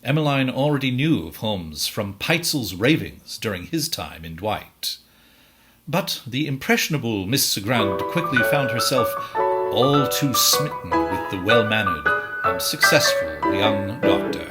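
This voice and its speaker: An actor as a wry storytelling narrator in a musical table read, delivering scripted narration with deliberate, theatrical phrasing. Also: male voice, lowish voice, very animated, loud music behind the voice, low-quality recording.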